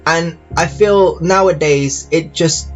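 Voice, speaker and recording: A man giving casual, rambling game commentary like an audio log, halting and often circling back to repeat his phrases. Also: fairly high pitch, highs cut off, faint music behind. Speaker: YouTuber reviewing games.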